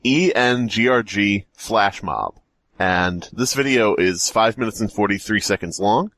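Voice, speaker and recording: A man's voice: lowish voice, measured pace, fairly bright tone, dry recording. A man hosting a comedy podcast, chatting casually in a rambling, joking way. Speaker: podcast host.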